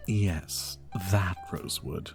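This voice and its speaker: A man voicing a charming, flirtatious vampire bartender in an ASMR roleplay, speaking in a soft, courteous, teasing and unhurried way. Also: sits low, faint music behind. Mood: wistful, guarded. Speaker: roleplay audio performer. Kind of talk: ASMR roleplay, addressing the listener.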